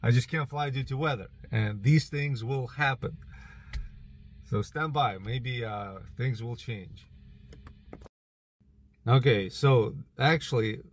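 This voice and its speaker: Ukrainian man rambling, voice going hoarse. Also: mid-range voice, slow, long pauses, a little hiss or hum. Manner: rambling, thinking aloud with fillers. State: losing his voice. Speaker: drone hobbyist vlogger. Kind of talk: casual vlog chat. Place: outdoors in a parking lot.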